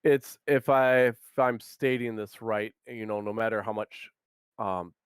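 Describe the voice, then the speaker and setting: Smooth-voiced man, hesitant chat. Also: fairly low pitch, steady tone, unhurried, no room sound, studio quality. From Minnesota, USA. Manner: hesitant, many ums. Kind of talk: casual chat.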